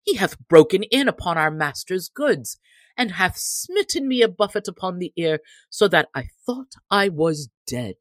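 A woman voicing a fat, scheming male steward in a storybook, aggrieved and flattering in archaic speech. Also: fairly low pitch, a little slow, dry recording.

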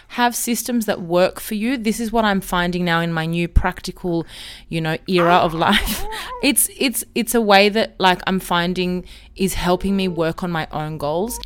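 Smooth-voiced woman in her thirties chatting. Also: mid-range voice. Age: 30s. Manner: candid, chatty, self-reflective. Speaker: podcast host. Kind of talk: solo podcast monologue.

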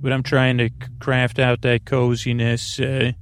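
A man hosting a bedtime podcast in a meandering, halting monologue, trailing off and restarting his thoughts, with a gravelly, creaky voice. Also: medium pitch, completely flat delivery. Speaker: podcast host. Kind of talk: rambling bedtime monologue to help listeners sleep.

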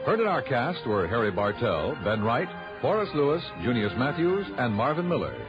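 A man's voice: medium pitch, big pitch swings, normal speed. A man announcing the credits of a 1960s radio show in a formal broadcast style. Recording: muffled old radio broadcast. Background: loud music.

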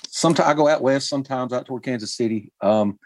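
A man in his forties from Kentucky, a musician guest talking casually with many pauses and restarts. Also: mid-range voice, darkish tone, slight background noise, poor audio. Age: 40s. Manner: halting, many ums and restarts. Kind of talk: casual interview chat.

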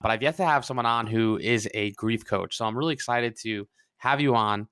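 A man's voice: on the low side, brisk, sharp tone, clear, resonant tone, no echo, studio quality. A man hosting a podcast, upbeat and enthusiastic as he introduces his guest. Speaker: podcast host.

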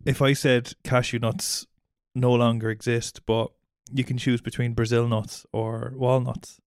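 A man's voice: medium pitch, level tone, unhurried, no room sound, clean studio sound. A man with an Irish accent bantering casually as a podcast co-host, teasing, joking and swearing freely. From Ireland.

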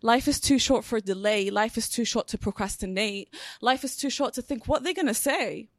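A woman's voice: a little high, moderate pace, dry recording. A woman in her twenties performing a spoken word poem with a rhythmic, emphatic delivery at a live panel. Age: 20s.

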